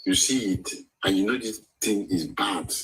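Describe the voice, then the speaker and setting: A man's voice: on the low side, moderate pace, fairly bright tone, slightly rough, low-quality recording. A man with a Nigerian accent, reflective and explaining his points with examples in a casual debate.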